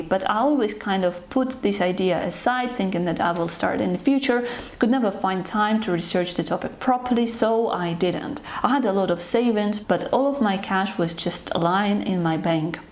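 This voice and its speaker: A young woman from Ukraine, hosting her own channel, talking to her viewers about herself in an unhurried, earnest, slightly hesitant way. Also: medium pitch, a little mellow. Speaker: channel host. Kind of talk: personal talk to camera.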